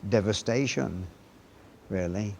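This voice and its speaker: An elderly man, a war veteran, reminisces in an interview in a halting, understated way. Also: fairly low pitch, vocal fry, darkish tone, a little hiss or hum. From Britain. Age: 80s or older.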